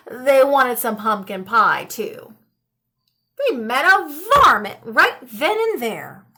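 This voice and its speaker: A woman reading a children's storybook aloud in an animated narrating style. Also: high-pitched. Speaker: storybook reader.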